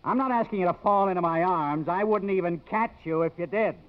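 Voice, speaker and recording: A man's voice: high voice, a little fast, slight background noise, natural voice. An American man playing a cocky, bossy, sardonic newsman with glib, insistent delivery in a 1950s radio drama. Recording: muffled old 1950s radio broadcast.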